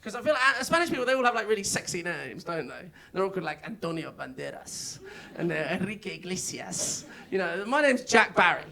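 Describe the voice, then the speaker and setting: Young English male stand-up, high, bright and a bit gravelly, chatty and self-mocking. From England. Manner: chatty, self-mocking, with frequent filler words. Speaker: stand-up comedian. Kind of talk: stand-up comedy set. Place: comedy club stage.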